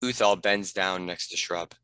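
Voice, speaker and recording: Deep, bright-toned male script narrator. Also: measured pace, clear, resonant tone, no room sound. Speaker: narrator reading stage directions.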